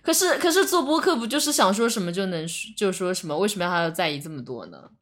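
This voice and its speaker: A woman in a casual podcast chat, speaking haltingly and repeating words as she goes. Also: medium pitch, animated, fairly bright tone.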